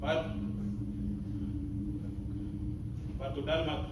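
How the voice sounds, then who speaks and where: A man's voice: fairly high pitch, level tone, moderate pace, heavy background noise, close to an everyday voice. A young man playing a stern, principled army major in an amateur stage play, speaking in a commanding, theatrical way. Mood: grave, reassuring. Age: young adult. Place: stage in a hall, distant microphone. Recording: echoey hall from far off, music behind.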